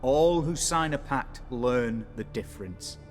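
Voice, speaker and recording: A man's voice: medium pitch, animated, close to an everyday voice. A man playing a learned, dramatic occult scholar in a video game, speaking cryptically and posing rhetorical questions. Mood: grim, rhetorical. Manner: cryptic, rhetorical, drawn-out phrasing. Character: learned, dramatic male occult scholar explaining evil pacts. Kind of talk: theatrical video game dialogue. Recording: music behind the voice.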